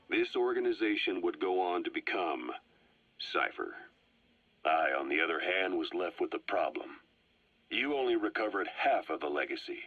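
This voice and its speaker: An actor playing a sly, composed Soviet spy in a video game, speaking in a low husky voice with measured dramatic pauses on a cassette recording. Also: male voice, full character voice. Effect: cassette tape filter. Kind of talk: scripted dramatic game dialogue, recounting history. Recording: dull, boomy cassette tape playback.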